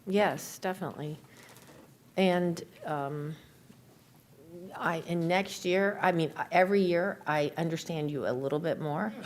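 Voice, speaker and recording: A woman chairing a public meeting, brisk and friendly as she thanks speakers and moves the agenda along. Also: on the low side, a little hiss or hum. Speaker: commission chair.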